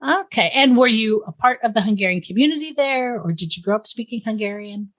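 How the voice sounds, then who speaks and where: A woman's voice: a little high, animated, a little fast, a bit bright. A woman hosting a podcast, reading a friendly intro and then interviewing a guest. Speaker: podcast host.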